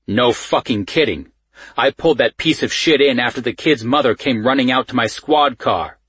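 A man voicing a gruff, short-tempered police sergeant with a Baltimore accent, blunt, profane and impatient, in an audiobook. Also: medium pitch, normal speed, fairly bright tone, no echo, pitched above the performer's own voice. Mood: angry, bitter. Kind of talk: audiobook character dialogue.

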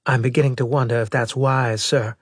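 A higher-pitched, husky voice for a worried but resolute female brigadier , acted out by a male audiobook narrator. The speech is formal and emphatic, full of earnest loyalty, and sounds dry and close. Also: fairly even intonation, fairly quick, no room sound, close to an everyday voice. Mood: urgent. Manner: formal, emphatic military address. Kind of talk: audiobook character dialogue.

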